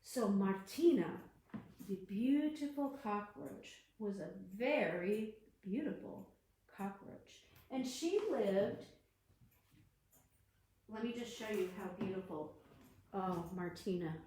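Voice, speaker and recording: Slow, gentle children's librarian. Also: female voice, medium pitch, expressive. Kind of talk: online storytime reading. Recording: echoey room.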